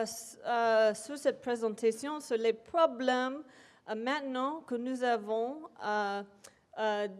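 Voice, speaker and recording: Hesitant woman, slow talk. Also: on the high side, darkish tone. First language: English. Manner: hesitant, many pauses and fillers. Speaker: invited speaker. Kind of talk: academic presentation. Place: lecture hall.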